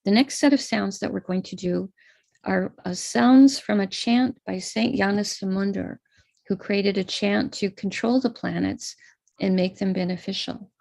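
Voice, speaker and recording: American woman explaining a star chart on a live stream, bit of vocal fry, dry close sound. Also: medium pitch, steady tone, a little slow, darkish tone, no room sound. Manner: patient, explanatory, walks through a chart step by step. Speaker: astrologer leading a ritual. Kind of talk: live-streamed ritual instruction.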